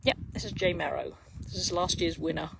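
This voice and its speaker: A man's voice: high-pitched, steady tone, fairly quick, creaky. A man giving casual, rambling live commentary on a horse race, often breaking off mid-thought, on a noisy outdoor live stream. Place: outdoors beside a horse trail.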